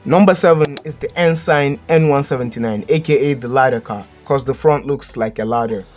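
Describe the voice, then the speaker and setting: A man's voice: mid-range voice, fairly quick, a little mellow, soft background music. A man with a Nigerian accent, hosting a casual countdown and giving blunt, joking opinions as a voice-over. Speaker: channel host. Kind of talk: casual list commentary.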